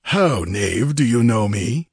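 A man's voice: mid-range voice, very animated, takes it slowly, a little mellow, slightly rough, no echo, full character voice. A man performs a proud outlaw chieftain of the desert for an adventure audiobook. He is imperious and mocking, a hard man who gives orders coolly. The recording is close and dry. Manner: imperturbable, commanding, scornful. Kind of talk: dramatised audiobook adventure reading.

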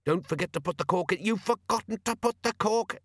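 Male reader as gentleman inventor, high voice, polite, eager, oblivious, chatty, audiobook character, dry sound. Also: very animated, moderate pace, dry recording, faint music behind. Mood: courteous, unruffled. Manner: courteous, enthusiastic, chatty.

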